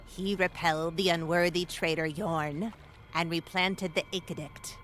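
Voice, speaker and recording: A woman's voice: lowish voice, fairly even intonation, unhurried, fairly bright tone, a little hiss or hum. A woman playing a solemn clan priestess in a fantasy game, with a ceremonial, incantatory delivery. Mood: solemn reverence.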